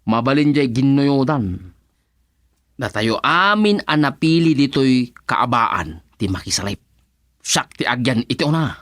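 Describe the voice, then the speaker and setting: A man acting a boastful, excitable village husband in a radio comedy, with an Ilocano accent. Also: medium pitch, measured pace, no room sound, clean studio sound.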